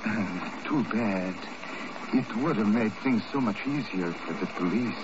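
Low-voiced, mock-courteous villain. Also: male voice, lively intonation. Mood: insinuating. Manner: over-formal, insinuating, deliberate. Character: smooth, menacing, mock-courteous foreign tobacco magnate villain. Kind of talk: 1950s radio drama acting. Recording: noisy, muffled old radio recording.

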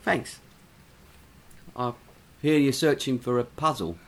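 A man's voice: medium pitch, animated. An actor playing a nervous drifter who stammers and halts as he recounts something horrifying. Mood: nervous, shaken. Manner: halting, stammering, frightened.

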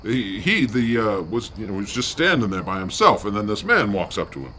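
An actor playing a nervous, defensive city fellow who stammers evasively as a witness in a radio drama. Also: male voice, fairly low pitch, sing-song, a little fast, sharp tone, slight background noise. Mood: hesitant. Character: nervous, defensive, shaken male eyewitness, common city fellow. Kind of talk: 1920s-style radio drama acting.

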